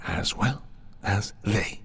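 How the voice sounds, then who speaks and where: A man playing a possessive, commanding prince in a dark fantasy ASMR roleplay, deliberate and imperious yet intimate. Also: on the low side, creaky, breathy edge, full character voice. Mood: cold, commanding. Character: possessive, commanding young prince, darkly romantic schemer. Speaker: audio roleplay performer.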